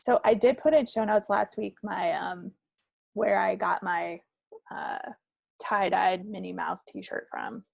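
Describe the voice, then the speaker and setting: A woman's voice: mid-range voice, fairly even intonation, slow, gravelly, no room sound. A woman co-hosting a knitting podcast, chatting in a casual, rambling, unscripted way. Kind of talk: casual, unscripted craft chat.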